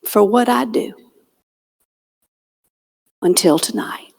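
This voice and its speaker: A woman with a Southern US accent voicing a humble, quiet older man who works in hospital maintenance, speaking softly and earnestly. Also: mid-range voice, slow, vocal fry, husky, exaggerated voice. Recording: long reverb of a large hall.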